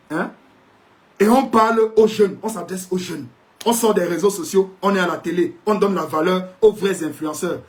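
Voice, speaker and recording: A man with a Cameroonian French accent delivers an indignant, preachy rant. His voice sits high for a man, and he turns sarcastic, mixing in mocking English. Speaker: commentator. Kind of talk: ranting video commentary.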